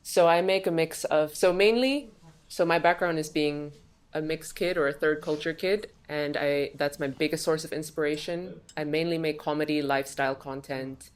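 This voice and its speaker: A woman with a notably low voice talks easily as a podcast guest. She grew up in Singapore and chats in a loose, open way, often reaching for "like" as she goes. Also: normal speed, clean tone. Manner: open and chatty, many fillers like "like". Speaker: guest, songwriter and content creator. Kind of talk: casual podcast conversation.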